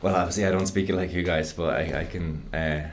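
A man's voice: sits low, fairly even intonation, quick pace, a little hiss or hum. A man hosting a casual podcast interview, asking curious, easygoing questions with many fillers. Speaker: podcast host.

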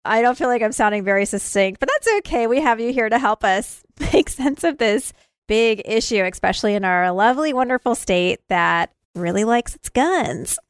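Chatty woman podcast host, clear dry voice. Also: a little high, lively intonation, normal speed, dry recording. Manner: informal, self-deprecating. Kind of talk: chatty podcast intro and interview.

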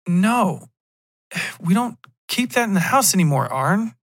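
A man's voice: high-pitched, very animated, measured pace, voice pushed up, exaggerated voice. A man voicing a worried, exasperated girlfriend who pleads and snaps in an improvised story. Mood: alarmed.

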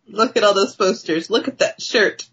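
A woman's voice: medium pitch, moderate pace, a bit bright, slightly rough, highs cut off. A woman co-hosting a podcast in casual discussion about comic books. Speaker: podcast co-host.

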